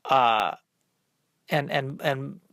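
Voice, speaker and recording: A man hosting a solo comedy podcast, rambling and thinking aloud in a halting, self-deprecating way. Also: mid-range voice, creaky, fairly bright tone. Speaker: podcast host working out stand-up comedy bits.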